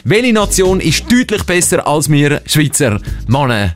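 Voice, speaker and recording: A man with a Swiss German accent hosting a radio quiz, bantering playfully in a cheeky, halting, improvised way. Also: on the high side, big pitch swings, soft background music.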